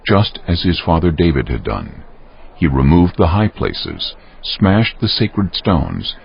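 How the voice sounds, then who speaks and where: A man narrating scripture from a script in a solemn, measured, dramatic storytelling style. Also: extremely low pitch, vocal fry, a little hiss or hum. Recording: dull lo-fi audio, faint music underneath.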